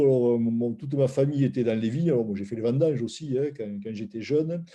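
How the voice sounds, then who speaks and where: A man's voice: mid-range voice, dark tone. A man, telling his story informally as a guest, in an anecdotal, digressive way. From Roussillon, southern France. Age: 60s. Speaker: founder of a food brand, guest. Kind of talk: informal spoken presentation of life story.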